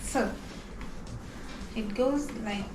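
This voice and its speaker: Woman vlogger from Nigeria, high voice, unhurried, gasps with delight, echoey room, background noise. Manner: excited, gasping, softly talking to herself. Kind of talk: casual unboxing vlog. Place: home in Dubai.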